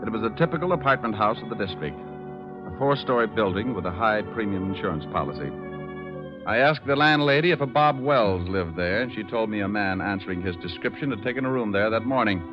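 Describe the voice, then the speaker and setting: An actor playing a rough, wisecracking private detective with a dry, hard-boiled delivery in an old radio drama. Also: male voice, fairly low pitch, animated, a little fast, over music, natural voice. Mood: wry narrating. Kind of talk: 1940s-50s radio detective acting and narration. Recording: dull old-time radio recording.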